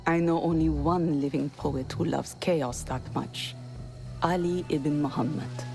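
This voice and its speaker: A woman's voice: low voice, steady tone, a little slow, vocal fry, over music. An actress playing a proud, poised female poet in a video game, speaking formally with cool disdain. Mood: cool, dismissive. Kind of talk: video game cutscene acting.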